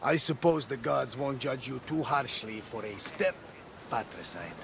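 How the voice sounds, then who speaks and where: A loyal ship's helmsman in a video game who jokes dryly. His voice is gravelly with middle pitch. Faint noise sits behind him. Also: lively intonation, a little slow. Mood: wry. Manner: dry, wry humour, loyal. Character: wry middle-aged male ship's captain.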